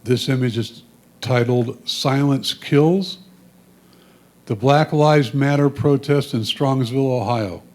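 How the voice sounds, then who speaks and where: A man's voice: medium pitch, slow pace, darkish tone. A man reading photo descriptions aloud in a plain, matter-of-fact way. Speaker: reader of photo captions at a photo club presentation.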